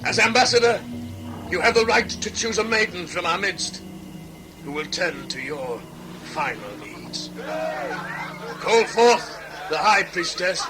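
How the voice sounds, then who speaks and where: Male actor playing stern chieftain, slightly high pitch, solemn archaic delivery, audio play, music bed. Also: expressive, unhurried, long pauses, rough recording. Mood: ceremonial. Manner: solemn, declamatory, archaic diction. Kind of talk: audio drama acting.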